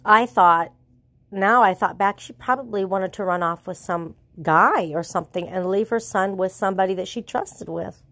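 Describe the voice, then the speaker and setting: A middle-aged woman with a cold, sounding stuffy and sore-throated, telling a personal story in a rambling, earnest way with restarts and trailing pauses. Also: mid-range voice, fairly bright tone, dry recording. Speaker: vlogger. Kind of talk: casual storytelling to camera.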